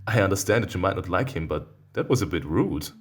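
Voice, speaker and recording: A man voicing the gentle, teasing protagonist of a furry visual novel, reasoning in a soft-hearted way. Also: sits low, expressive, fast talker, slight background noise. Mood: gently reproving.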